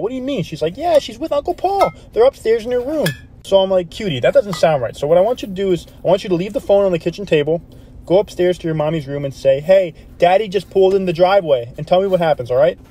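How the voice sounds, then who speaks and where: A man's voice: high voice, slight background noise. A man casually telling a comedic anecdote and acting out the dialogue as he goes. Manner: animated retelling, acting out dialogue.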